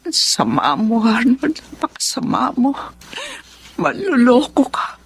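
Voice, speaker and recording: A woman's voice: a little high, very animated, measured pace, gravelly, slightly rough, exaggerated voice. A woman playing a wounded, betrayed lady in a Tagalog radio melodrama. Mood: heartbroken. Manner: emotional, dramatic delivery. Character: betrayed emotional well-off woman, tender but wounded.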